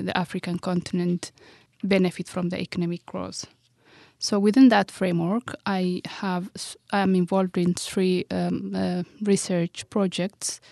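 Ethiopian-accented woman, researcher, lowish voice, fairly even intonation, measured explanation. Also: vocal fry, no echo, clean studio sound. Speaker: research scholar. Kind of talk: self-introduction about research.

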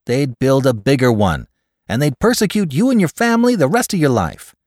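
A man's voice: mid-range voice, sing-song, normal speed, dry recording, studio quality, voice pushed up, lightly acted. A man voicing a coolly logical male friend who gives persuasive advice in a clipped, exact way, in an audiobook story. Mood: brisk, insistent.

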